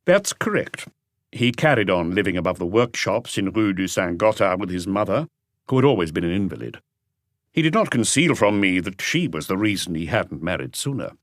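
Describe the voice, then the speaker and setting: A man's voice: fairly low pitch, no room sound, studio quality, natural voice. A man voicing an earnest, talkative doctor who explains things with assurance in an audiobook mystery. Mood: explanatory. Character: earnest male doctor, talkative and conscientious family friend.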